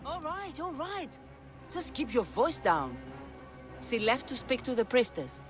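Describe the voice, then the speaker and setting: A woman's voice: high-pitched, big pitch swings, measured pace, music in the background. A woman playing a guarded, scornful bystander in a dramatic video game scene. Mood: hushed placating.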